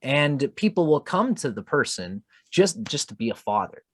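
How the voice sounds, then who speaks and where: A man's voice: on the high side, big pitch swings, moderate pace, fairly bright tone, no room sound, studio quality. A man hosting an interview who speaks in a thoughtful, agreeable and reflective way. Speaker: interview host. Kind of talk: casual interview conversation.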